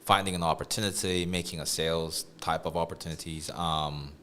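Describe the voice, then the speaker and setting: A man's voice: deep voice, steady tone, fairly quick, bright, forceful tone, slight background noise. A man from Korea hosting a conversational interview, asking questions with searching phrasing and many fillers. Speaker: host.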